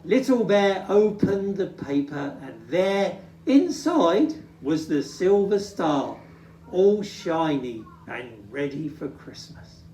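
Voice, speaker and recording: Expressive storybook reader, unhurried and dramatic, recorded at some distance in an echoing room. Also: medium pitch, slight background noise. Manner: dramatic, drawn-out emphasis. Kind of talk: expressive children's story reading. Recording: roomy, distant microphone.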